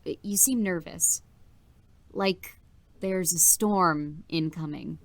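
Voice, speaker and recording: A woman's voice: on the low side, slow, creaky, no echo. A woman playing a perceptive, friendly teenage student in an audio drama, casual yet pointed. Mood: curious, probing.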